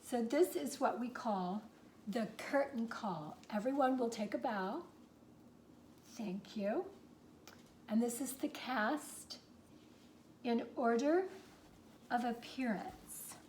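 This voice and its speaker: Woman doing a snooty actress reading a kids storybook, slow n melodramatic, bit high, hissy background. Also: lively intonation, darkish tone. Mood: dry disdain. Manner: affected, melodramatic, aloof. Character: haughty theatrical actress reading to children. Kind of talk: dramatic storybook reading with dry asides.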